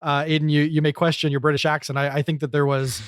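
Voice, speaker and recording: Higher-voiced man, reflective podcast host, dry sound. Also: level tone, quick pace, dry recording. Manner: reflective, articulate, encouraging. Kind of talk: casual discussion and commentary.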